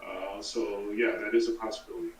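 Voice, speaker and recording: A man's voice: lowish voice, little pitch movement, fairly quick. A middle-aged or older man explaining things casually as a guest on a live stream. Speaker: guest explaining a donor program. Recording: roomy, distant mic.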